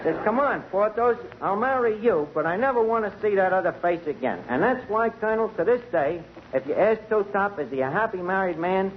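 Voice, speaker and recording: High-pitched New wise guy barkeep. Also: expressive, fairly quick, few pauses. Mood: storytelling. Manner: streetwise, mangles grammar and big words. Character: wisecracking, malapropism-prone male tavern manager. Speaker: comic lead of a radio sitcom. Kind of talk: 1940s radio comedy acting. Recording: muffled, noisy 1940s radio recording.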